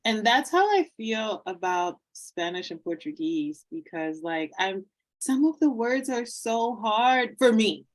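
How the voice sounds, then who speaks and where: A woman's voice: on the high side, big pitch swings, slow pace. A woman hosts a casual interview, asking long, rambling questions full of little restarts. She is self-deprecating and laughs often as she talks. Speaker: podcast host.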